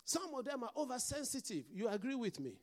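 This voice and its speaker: A man's voice: very high-pitched, very animated, brisk. A man with a mixed Nigerian and Scottish accent, preaching a sermon as pastor and calling out to the congregation for responses.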